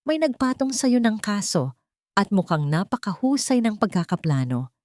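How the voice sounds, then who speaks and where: A woman voicing a calm, authoritative attorney in a narrated story. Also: medium pitch, normal speed, no echo, clean studio sound, voice pushed up, lightly acted. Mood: reassuring, firm.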